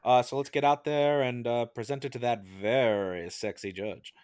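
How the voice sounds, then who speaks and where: A man's voice: mid-range voice, fairly even intonation, normal speed, darkish tone, dry recording, studio quality. A man in casual film discussion on a comedy mock-trial podcast.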